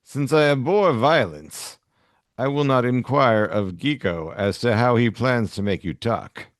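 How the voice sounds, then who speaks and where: A smooth, hospitable villain, acted out by a man for a comic magazine reading. He speaks with theatrical courtesy and a delicate, fastidious air, in a close, dry sound. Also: medium pitch, lively intonation, no echo, close to an everyday voice. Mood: silky, fastidious. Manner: mock-polite, theatrical reading. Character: smooth, hospitable villain sparing his own delicate feelings.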